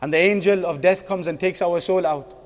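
High-pitched male preacher in an echoing hall. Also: normal speed. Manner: earnest, exhorting with repeated phrases and rhetorical questions. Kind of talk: sermon. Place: mosque hall. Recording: long echoing hall reverb.

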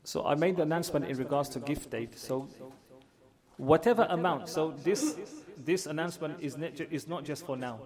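A man's voice: a little high, normal speed. A man leading prayers, giving an earnest address to his congregation and repeating phrases for emphasis; his first language is not Urdu. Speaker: imam. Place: large mosque hall with congregation. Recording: crowd audible behind.

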